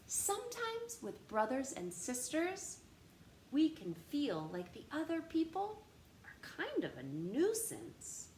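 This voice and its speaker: A woman hosts a read-aloud for young children in a fairly high voice. Her delivery is lively and expressive, taking its time as she speaks straight to the listeners. The room rings noticeably, with slight noise behind her. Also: slow pace. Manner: animated, addressing young children with questions. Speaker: storytime reader and host. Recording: roomy echo with faint background noise.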